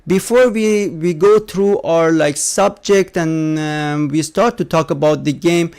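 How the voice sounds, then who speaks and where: A man hosting a gaming podcast, talking casually with many fillers and halting, non-native phrasing. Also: fairly high pitch, vocal fry, darkish tone, smooth. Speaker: podcast host.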